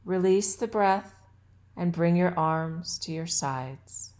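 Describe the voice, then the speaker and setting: A woman guiding a yoga nidra relaxation as an instructor, with soothing, measured and deliberate phrasing. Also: on the low side, a little mellow. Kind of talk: guided meditation and relaxation instruction.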